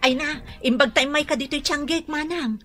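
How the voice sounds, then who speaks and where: A woman's voice: high-pitched, moderate pace, a bit bright, faint music behind. An actress playing a gossiping middle-aged village woman, insistent about her news. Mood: gossipy. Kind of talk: radio drama acting.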